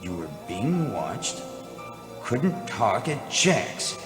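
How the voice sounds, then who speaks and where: An actor playing a weary, cynical, wry private eye in a deadpan radio noir parody. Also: male voice, mid-range voice, extremely slow, reverberant, music in the background. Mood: brooding.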